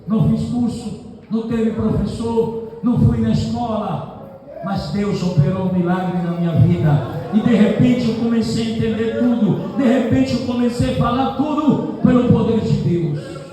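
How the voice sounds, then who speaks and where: High-voiced preacher guy shouting his sermon, far from the mic in a big echoey hall with the crowd behind, not a native speaker. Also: moderate pace. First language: not Portuguese. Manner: impassioned preaching, often shouting. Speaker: pastor. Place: large church hall. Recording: roomy hall from far off, congregation.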